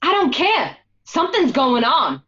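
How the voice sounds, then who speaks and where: A woman's voice: high voice, huge pitch swings, a little slow, bright, forceful tone, dull audio, exaggerated voice. A woman playing a suspicious, wounded gangster's wife in a radio drama, emphatic and confrontational. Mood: accusing. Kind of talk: amateur radio drama acting.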